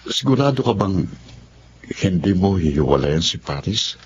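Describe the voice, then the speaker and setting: Deep-voiced, supportive male friend. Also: very animated, moderate pace, slight background noise. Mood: concerned, supportive. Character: calm, supportive male friend giving advice. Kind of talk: Tagalog radio drama acting. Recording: dull lo-fi sound, faint music.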